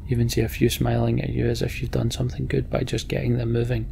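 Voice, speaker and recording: A man's voice: medium pitch, monotone, fast, gravelly, dark tone, slight background noise. A man hosting a Let's Play, giving casual game commentary and reading the story text aloud.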